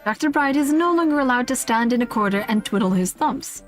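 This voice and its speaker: A woman's voice: high-pitched, lively intonation, brisk, soft background music. A woman streamer reading a list aloud in a casual livestream style, amused, with playful asides.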